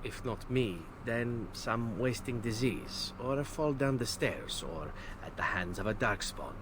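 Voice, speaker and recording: An actor playing a callous, suave male elven assassin in a video game, talking smoothly and philosophically about his trade. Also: medium pitch, expressive, a little slow, noisy background, natural voice. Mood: matter-of-fact, philosophical. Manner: smooth, philosophical, unapologetic, articulate. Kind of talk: video game character dialogue.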